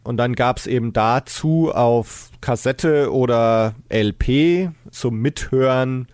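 A man's voice: medium pitch, slow pace, darkish tone, clean tone, no echo. A man chatting casually as a podcast host. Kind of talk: casual discussion.

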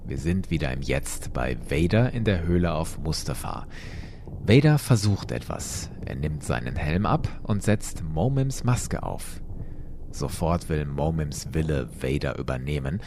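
Guy narrating a story, low voice, really animated scripted delivery, bit of background noise. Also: gravelly. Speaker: storyteller host.

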